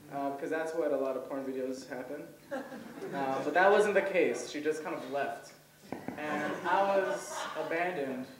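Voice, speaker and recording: Young man, native Hebrew speaker, stand-up comedian, hesitant rambling delivery, slightly high voice, echoey room, distant mic. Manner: rambling, hesitant with many ums, laughs at own jokes. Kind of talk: first-time stand-up set. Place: comedy event stage. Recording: roomy, voice from far off.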